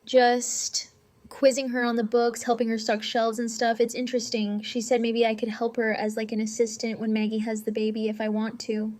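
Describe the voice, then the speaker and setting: A woman acts out an unsure teenage girl in an audio drama. Her voice is lightly high and quite flat in melody. She sounds shy and understated, phrasing things hesitantly and casually. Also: normal speed, lightly acted.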